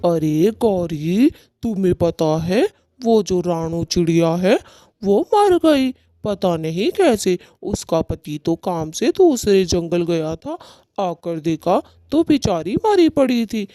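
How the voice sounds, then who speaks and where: A man's voice: high voice, very animated, takes it slowly, warm tone, no room sound, studio quality, full character voice. A man playing an aggrieved male cartoon bird husband who speaks in a dramatic, reproachful way. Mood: gossiping. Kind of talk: Hindi cartoon story dialogue.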